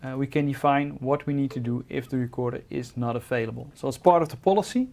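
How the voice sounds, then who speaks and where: A man's voice: medium pitch, fast, warm tone. A man co-presenting, giving a conversational technical explanation.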